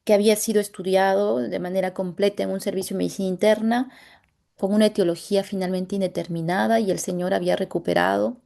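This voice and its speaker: A Latin American woman with a gravelly, dark and mellow voice walks through a medical case as a dermatologist. She speaks haltingly, often pausing to find the right word, over a video call. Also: mid-range voice. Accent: Latin American Spanish. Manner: halting, pauses to search for words. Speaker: dermatologist presenting a clinical case.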